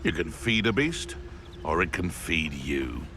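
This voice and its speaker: Video game beast keeper, gravelly, very deep, slow drawl, sharp edge. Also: male voice, very animated, a little hiss or hum, exaggerated voice. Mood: menacing.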